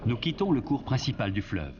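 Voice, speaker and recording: A man giving scripted documentary narration in a measured, even delivery. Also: medium pitch, muffled recording, background noise.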